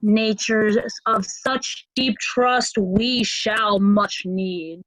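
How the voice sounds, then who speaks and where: A woman playing a stern, commanding duke in Shakespearean reader's theatre, measured and imperious. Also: medium pitch, fairly bright tone, dry recording, rough recording. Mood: gracious, commanding. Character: Cornwall, commanding stern duke handing out orders.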